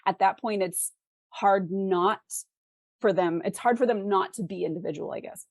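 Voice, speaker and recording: A woman's voice: medium pitch, moderate pace, vocal fry, no room sound, studio quality. A woman speaking as an interview guest, explaining conversationally with examples and rhetorical questions. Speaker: interview guest, fiction author.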